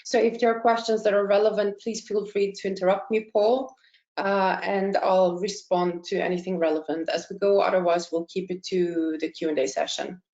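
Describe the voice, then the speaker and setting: A woman's voice: mid-range voice, fairly even intonation, normal speed, darkish tone. Woman in a roomy webinar. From Austria. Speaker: career consultant, webinar presenter. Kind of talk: webinar presentation. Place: indoor room, online call. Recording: dull, roomy online webinar audio.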